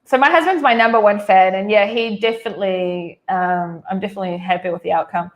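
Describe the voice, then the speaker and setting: A woman's voice: medium pitch, fairly quick. Chatty New Zealand woman in her thirties. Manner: chatty, frequent "like" fillers and restarts, laughs easily. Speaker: interview guest. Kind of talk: casual conversational storytelling.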